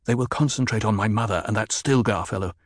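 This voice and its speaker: A man voicing a calm, alert young noble boy in quiet, measured tones. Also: lowish voice, no room sound, clean studio sound, lightly acted. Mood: tense.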